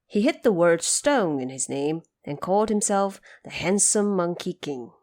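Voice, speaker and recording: A woman storyteller giving a dramatic, expressive scripted narration of a tale. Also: fairly low pitch, unhurried. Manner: expressive, theatrical.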